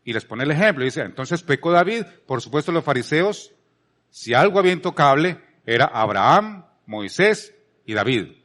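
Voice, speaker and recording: A man's voice: on the high side, big pitch swings, moderate pace, a bit bright. A man from Colombia with a Colombian accent, giving a conversational sermon as a preacher, repeating phrases for emphasis and posing rhetorical questions. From Palmira, Colombia.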